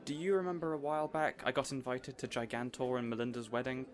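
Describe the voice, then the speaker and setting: A man playing a boyish, caring supervillain boss in an audio roleplay, earnest and rambling, hesitant yet excitable. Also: mid-range voice, quick pace, close to an everyday voice. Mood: tentative.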